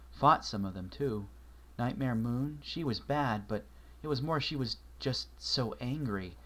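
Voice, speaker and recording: A man reading a story aloud, voicing an anxious, bookish young pony mare who talks earnestly and often in a hushed voice. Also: mid-range voice, lightly acted.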